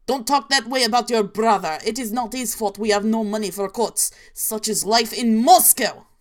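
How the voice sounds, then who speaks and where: A woman voicing a gruff, weary Soviet father in a put-on mock Russian accent, scolding his child. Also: mid-range voice, sing-song, normal speed.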